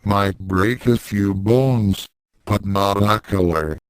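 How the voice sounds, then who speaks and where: Storybook brother read by a man: young, sleepy grumbler, very low, smooth voice. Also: slow, no room sound. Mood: confident. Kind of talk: storybook dialogue read aloud.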